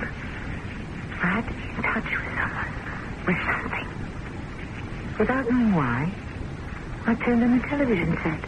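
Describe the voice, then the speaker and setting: A woman's voice: fairly low pitch, lo-fi sound. An actress playing a frantic, suspicious woman in an old-time radio drama, speaking with dramatic, insistent intensity. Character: frantic, suspicious woman recounting a spy ordeal. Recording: noisy old radio broadcast recording.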